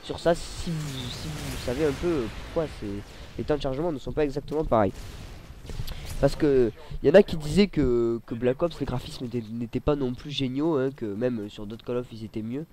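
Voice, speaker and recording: A man's voice: mid-range voice, moderate pace, dark tone, a little hiss or hum. A man with a cold, commentating casually over his gameplay at a desk mic, rambling and hesitant with many fillers. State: has a cold. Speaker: gaming YouTuber.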